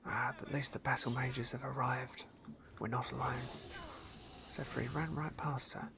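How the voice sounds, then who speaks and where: A man whispering ASMR narration of a video game playthrough in a soft, unhurried, genteel way. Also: mid-range voice, steady tone, vocal fry, noisy background.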